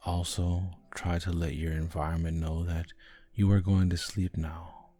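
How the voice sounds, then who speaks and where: A man guiding a sleep hypnosis in a soft, calming, gentle voice with long pauses between short phrases. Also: low-pitched. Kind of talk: guided sleep hypnosis, soothing narration. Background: background music.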